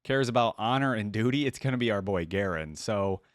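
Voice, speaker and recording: Low-pitched man, casual reaction host. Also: animated, fairly quick, no room sound, studio quality. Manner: conversational, thinks aloud, tentative opinions.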